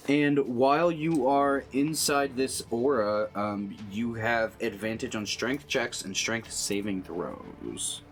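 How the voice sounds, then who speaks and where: A man's voice: mid-range voice, slow pace, hardly any pauses. A man chatting casually as a player in a tabletop roleplaying game, informal and thinking aloud as he goes.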